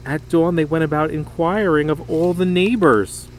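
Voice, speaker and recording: A man reading a children's story aloud in an expressive, dramatic, emphatic way. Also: a little high, moderate pace, a little hiss or hum, low-quality recording. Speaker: storybook reader.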